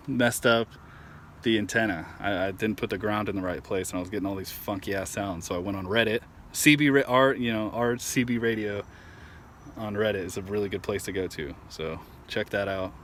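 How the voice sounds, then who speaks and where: Casual man explaining, with clear background noise. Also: fairly low pitch, fairly bright tone. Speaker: DIY video host. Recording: clear vehicle and outdoor ambient noise.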